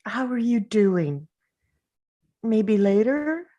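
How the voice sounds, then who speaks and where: A woman reading the part of a bereaved, nostalgic mother in a drama table read, halting and conversational but composed. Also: fairly high pitch, expressive, warm tone, dull audio, full character voice. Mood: subdued.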